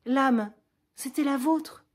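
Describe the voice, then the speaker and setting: A woman's voice: high voice, slow, voice pushed up. A woman voicing a passionate noblewoman who speaks urgently and emphatically, pressing with rising insistence. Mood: urgent, pleading. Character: passionate noblewoman, stunned and pleading.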